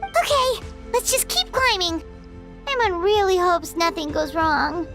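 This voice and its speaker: A woman voicing a small, childlike, excitable floating companion creature in a video game, squeaky and easily worried. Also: unhurried, over music. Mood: worried resolve.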